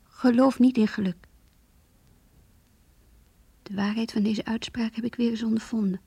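A lovesick young woman speaks with a breathy, somewhat high voice in a very dry, close sound. She is earnest and intimate, as if confiding a diary in a radio drama. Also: steady tone, normal speed, mellow, no room sound, heavily acted. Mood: hurt, sorrowful. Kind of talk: Dutch radio play, diary monologue and dialogue.

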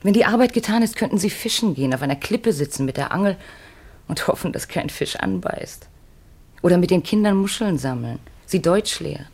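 An actress in a 1950s German radio play voicing an earnest, wistful middle-aged mother on the run, speaking in measured, pleading short phrases. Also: on the low side, animated, creaky, darkish tone, husky, full character voice. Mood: gently coaxing. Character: Marianne, earnest, wistful fugitive mother of grown children.

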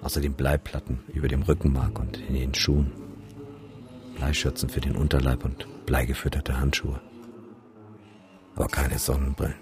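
An actor playing a cynical, bleak photographer and disaster witness in a radio drama, sardonic and matter-of-fact. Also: male voice, rumbling low, completely flat delivery, normal speed, pauses often, over music. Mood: grim, matter-of-fact. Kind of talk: radio drama monologue and dialogue.

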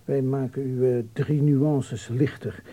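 An actor in a Dutch radio drama playing a fussy, obliging hairdresser who murmurs and repeats himself deliberately. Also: male voice, medium pitch, little pitch movement, mellow, close to an everyday voice. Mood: obliging, appraising. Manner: murmured repetitions, deliberate hesitations.

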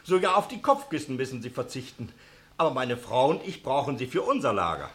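A man's voice: mid-range voice, sing-song, a little fast, fairly bright tone. An actor playing an obliging male innkeeper in a German radio play, courteous and emphatic as he explains and reassures. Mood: apologetic.

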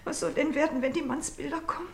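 An actress playing a sick, fretful, morbid wife in a radio drama, husky and breathy, with a Silesian accent. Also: female voice, sits high, quick pace. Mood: fretful, wailing. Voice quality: husky, breaking into breathy whispers. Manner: plaintive, calling out and lamenting. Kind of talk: naturalistic German radio drama acting.

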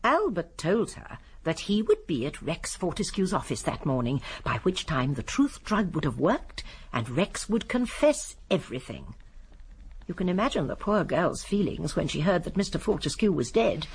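A woman playing a shrewd, genteel elderly English lady sleuth in a radio drama, speaking primly and precisely with a gently assured manner. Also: fairly low pitch, sing-song, moderate pace, husky, heavily acted. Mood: explaining. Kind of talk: BBC radio drama acting.

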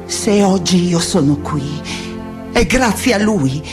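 An actress playing a reflective, passionate woman scholar in a lyrical theatrical monologue, with deliberate dramatic pauses. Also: on the low side, expressive. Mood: wistful. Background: music.

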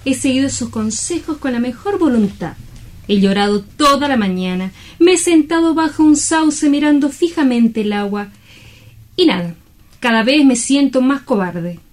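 A woman's voice: high voice, lively intonation, normal speed, a little mellow. An actress playing a melancholy, despairing lady in a theatrical radio drama, speaking politely and plaintively.

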